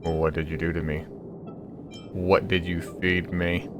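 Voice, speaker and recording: A man's voice: sits low, little pitch movement, measured pace. A man giving casual, off-the-cuff commentary while playing a video game. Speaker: gaming video creator. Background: game music.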